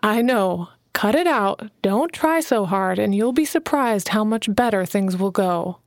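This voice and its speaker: A woman reading an audiobook, voicing a calm, knowing woman mentor who speaks slowly and gently teases. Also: medium pitch, no echo, clean studio sound. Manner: unhurried, gently teasing, firm. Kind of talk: audiobook character voice.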